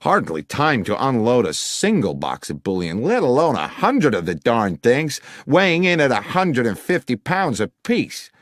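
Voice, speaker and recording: A man's voice: medium pitch, sing-song, moderate pace, hardly any pauses, no room sound, clean studio sound, voice pushed up, lightly acted. A man voicing a formal, wordy middle-aged businessman client who is fond of puns, in an audiobook. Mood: incredulous. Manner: formal, long-winded, jovially self-amused. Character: anxious middle-aged businessman client, verbose, pun-loving. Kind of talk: audiobook character dialogue.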